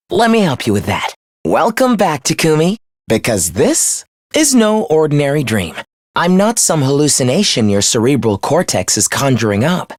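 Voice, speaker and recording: A man's voice: fairly high pitch, dry recording, studio quality, heavily acted. A young man playing a smug, mocking villain in a video game, with a condescending, theatrical delivery. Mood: smug, taunting. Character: taunting, smug young villain living in someone's head. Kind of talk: video game character acting.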